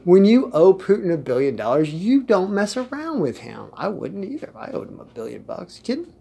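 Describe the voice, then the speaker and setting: A man's voice: high voice, wildly swooping pitch, fairly quick, gravelly, mellow, slightly rough. A man ranting in a loose, joking way, laughing now and then and restarting his sentences. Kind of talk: casual rant.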